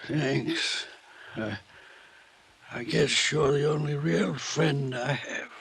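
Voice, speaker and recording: An actor playing a weak, wounded, friendless man in a radio drama, speaking in halting, clipped fragments. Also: a little high, gravelly, fairly bright tone, husky, soft background music, heavily acted. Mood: weary, grateful. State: weak, injured. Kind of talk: old-time radio drama acting.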